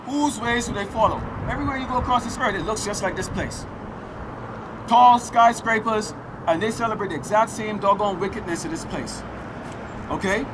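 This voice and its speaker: A man street preaching outdoors, declaiming scripture slowly and firing rhetorical questions at passersby. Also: sits high. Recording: loud street traffic noise.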